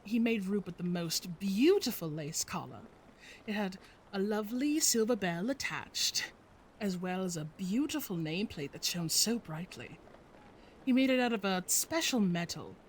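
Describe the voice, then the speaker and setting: A woman's voice: medium pitch, expressive, moderate pace, a little hiss or hum, natural voice, low-quality recording. A woman playing a prim, polite lady who dotes anxiously on her cat in a scripted audio drama. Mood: flustered, fond.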